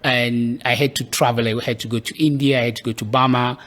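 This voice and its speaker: A man's voice: mid-range voice, steady tone, a little fast, a bit bright. Kenyan man telling his story thoughtfully. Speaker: podcast guest. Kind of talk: casual interview storytelling.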